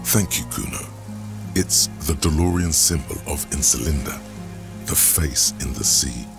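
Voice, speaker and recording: A man voicing a detective's grave inner thoughts as measured, literary narration in a video game. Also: very deep voice, vocal fry, full character voice. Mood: solemn. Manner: measured, brooding, literary delivery. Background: prominent music.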